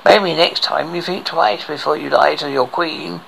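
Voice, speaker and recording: A man's voice: on the high side, unhurried, vocal fry, slight background noise, exaggerated voice, low-quality recording. Man putting on a haughty, commanding storybook Queen. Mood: sharp, scolding. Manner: imperious, sharp, suspicious.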